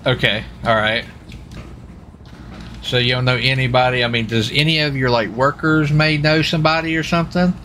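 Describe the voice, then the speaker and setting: A man playing a police marshal in an online roleplay game, asking casual questions in a halting, rambling, informal way. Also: medium pitch, steady tone, pushed and bright, slight background noise.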